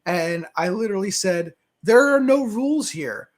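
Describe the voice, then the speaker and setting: A man's voice: high-pitched, expressive, normal speed. A man giving his opinions as a panelist in a casual movie discussion.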